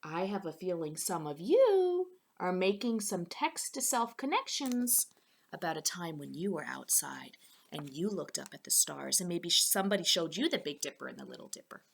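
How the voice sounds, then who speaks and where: A woman's voice: fairly low pitch, big pitch swings, moderate pace, creaky. A woman teacher reading a children's storybook aloud, expressively, with asides spoken directly to her young listeners.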